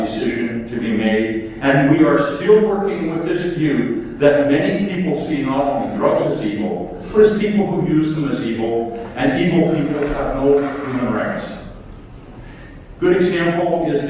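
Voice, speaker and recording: A man's voice: on the high side, a little mellow, a little hiss or hum. Older man rallying a distant hall. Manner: emphatic, rallying, rhetorical questions. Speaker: public health official giving a conference talk. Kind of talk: impassioned conference speech. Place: large lecture hall. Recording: echoey hall, distant mic, dull sound.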